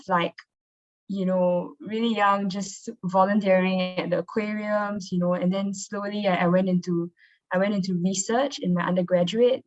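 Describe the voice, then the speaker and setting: A young woman giving an informal, conversational presentation as a marine biologist over a video call. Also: fairly low pitch. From Singapore. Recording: dull, lo-fi online call audio.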